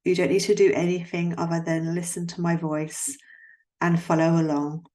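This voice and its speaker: A woman guiding a yoga nidra relaxation in a soothing, gently instructing manner, leaving long pauses between phrases. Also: on the low side, little pitch movement. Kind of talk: guided relaxation meditation.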